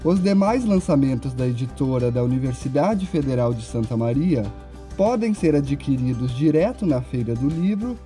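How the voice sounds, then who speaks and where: A man's voice: mid-range voice, lively intonation, normal speed, mellow, faint music behind. A young man delivering a scripted television news report. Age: young adult.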